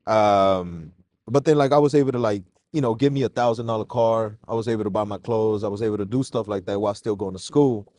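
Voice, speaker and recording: Guy from mexico on a podcast, kinda low voice, laid back and slangy, dry close sound. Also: fairly quick, dry recording. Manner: relaxed, slangy, calls host "bro". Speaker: podcast guest. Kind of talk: casual podcast interview.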